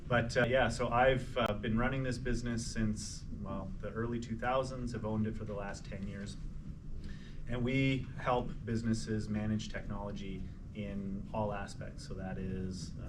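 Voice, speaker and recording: A man speaks on a panel in a relaxed, wry way. His voice sits a bit low. He is picked up from a distance with noise behind. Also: normal speed. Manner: relaxed, self-deprecating humor. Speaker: company president, panelist. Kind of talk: panel introduction. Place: conference panel stage. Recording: distant noisy room mic.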